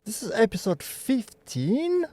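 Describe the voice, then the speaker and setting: Easygoing podcast host from Canada. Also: male voice, high voice, takes it slowly, no echo, studio quality. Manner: relaxed, playful, thanks viewers warmly. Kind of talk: casual live podcast chat.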